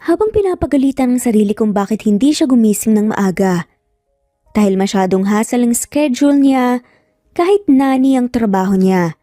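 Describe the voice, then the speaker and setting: A woman's voice: a little high, animated, normal speed, mellow, no room sound, clean studio sound, faint music behind. A woman with a Filipino accent telling a story in scripted, dramatic narration.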